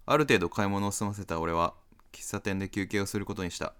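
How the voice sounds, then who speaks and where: A young man acted by a male performer leads and narrates a radio drama. His voice is deep and clear, with a reserved, deadpan delivery. He comes across as aloof and put-upon. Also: a little fast, natural voice. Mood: deadpan, weary. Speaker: narrator and lead character.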